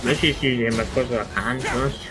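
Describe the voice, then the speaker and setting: A man's voice: mid-range voice, fairly even intonation, fairly quick, over loud music. A young adult man giving casual, rambling gameplay commentary as a streamer, thinking aloud as he goes. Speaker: game streamer.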